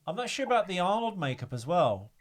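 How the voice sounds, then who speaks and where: A man's voice: sits high, sing-song, fast. A man hosting a podcast in casual chat, hesitant and full of fillers, with a frog in his throat. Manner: hesitant, many fillers and "I don't know". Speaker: podcast host.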